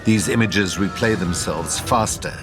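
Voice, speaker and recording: A male narrator reads stage directions from a screenplay. His voice sits fairly low, and music plays clearly underneath the scripted narration. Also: measured pace.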